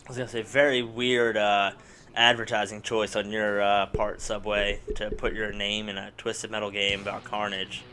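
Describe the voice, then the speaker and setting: A man who is sick with a raspy voice, playing a car combat game and rambling through casual commentary with lots of fillers. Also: fairly low pitch, moderate pace. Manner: rambling, excitable, many fillers. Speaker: let's play gamer. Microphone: desk microphone at home.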